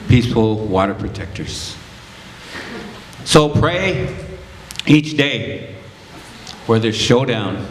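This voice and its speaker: A man speaking at a community rally in an impassioned, prayerful, declaiming way. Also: mid-range voice. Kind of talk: impassioned speech. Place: indoor community gathering. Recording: echoey hall with background noise.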